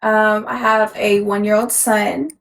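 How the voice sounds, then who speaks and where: A woman's voice: mid-range voice, level tone, unhurried, slight background noise, rough recording. A woman in her twenties from Tampa, co-hosting a casual chat, with laid-back slangy banter and frequent laughs. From Tampa, Florida. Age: 20s. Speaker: podcast co-host.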